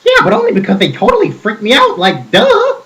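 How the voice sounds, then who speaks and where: A woman's voice: sits high, huge pitch swings, brisk, fairly bright tone, pitched above the performer's own voice, full character voice. A young woman putting on a ditzy, germ-phobic teen girl with a dramatic valley-girl drawl. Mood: disgusted.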